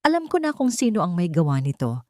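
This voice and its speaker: A woman's voice: on the low side, sing-song, fast talker, warm tone, no room sound, studio quality. A woman voicing a wronged, rich businessman in a narrated drama, terse, cold and commanding, set on revenge. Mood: grim resolve.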